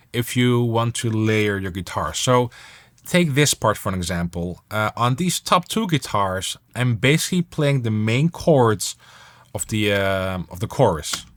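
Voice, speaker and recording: A man's voice: fairly low pitch, animated, unhurried. A man talking casually as an instructor, explaining music production steps while he demonstrates them.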